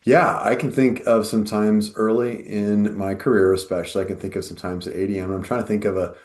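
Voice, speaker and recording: A man speaking as a podcast guest, thinking back slowly and carefully over his career. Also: on the low side, flat delivery, darkish tone. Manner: jokey, then slow and thoughtful. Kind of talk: casual podcast chat.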